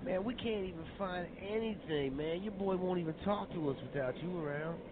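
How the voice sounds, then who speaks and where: A man's voice: high voice, brisk, natural voice. A man playing a resentful, streetwise young junkie complaining to a friend in a parody TV promo. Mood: whiny, resentful. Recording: tinny lo-fi over music.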